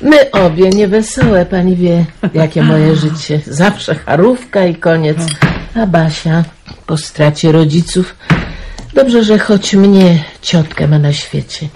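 Careworn, kindly aunt, low-voiced. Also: female voice, slow pace. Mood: resigned, weary. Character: careworn, kindly middle-aged aunt keeping a small farm. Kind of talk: 1990s Polish radio play acting. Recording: noisy radio drama recording with clicks.

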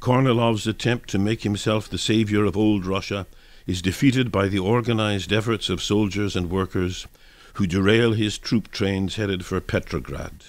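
A man's voice: lowish voice, normal speed, no room sound. A man narrating scripted history as a dramatic reading for a radio broadcast. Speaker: reader, narrator.

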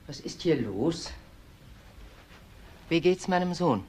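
A woman's voice: sits low, expressive, slow pace, a little mellow, breathy edge, heavily acted. Insistent older mother. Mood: anxious, insistent. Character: anxious, insistent elderly mother, family matriarch. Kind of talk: crime radio drama acting.

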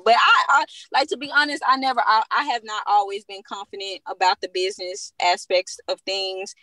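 A woman in her thirties, a business co-founder guest, speaking confidently and motivationally in relaxed phrasing. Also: mid-range voice, dry recording, low-quality recording. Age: 30s. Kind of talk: casual interview chat.

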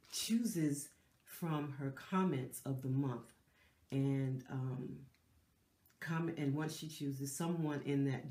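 A woman's voice: very deep voice, reverberant. A middle-aged woman hosting a lifestyle channel, talking casually to camera with a stuffy, nasal voice from a cold and lots of fillers and restarts. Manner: chatty, warm, with many fillers and restarts. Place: decorated living room indoors.

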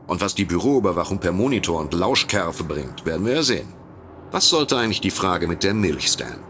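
An actor playing a dry, skeptical detective in a comedic audio drama, speaking in a measured, sardonic way. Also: male voice, low-pitched, very animated, fairly bright tone, a little hiss or hum. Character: dry, skeptical male detective, the sensible partner.

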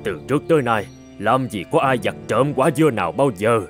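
A man voicing a grumbling but soft-hearted old farmer in an animated folk tale. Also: mid-range voice, a little fast, soft background music, close to an everyday voice. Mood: puzzled.

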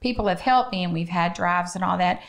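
A woman's voice: fairly low pitch, fairly quick, vocal fry. A middle-aged woman, answering interview questions conversationally as a guest from a children's shelter. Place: small podcast studio, indoors. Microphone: close studio microphone on boom arm.

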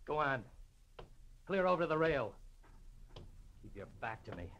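Jealous American husband, middle-pitched, defensive and menacing, vintage radio play with slight hiss. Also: male voice, big pitch swings, measured pace, a bit bright. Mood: threatening. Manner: tense, defensive, threatening. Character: short-tempered, menacing jealous husband with a gun. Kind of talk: 1940s radio drama acting. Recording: old radio recording, faint noise.

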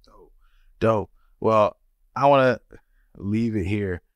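A man hosting a podcast, asking relaxed conversational questions into a studio boom mic. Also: slow pace, no echo. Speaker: podcast host, interviewer. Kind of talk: casual interview. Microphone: studio mic on boom arm.

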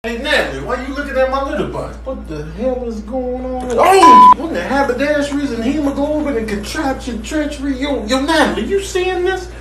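A man voicing cocky, excitable animals in streetwise slang for a comic viral-clip voice-over. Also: very high voice. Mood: alarmed, indignant. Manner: streetwise slang, drawn-out boasting, exclamations. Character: cocky, excitable animals: dogs, cats, wildlife. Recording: noisy compressed phone-clip audio.

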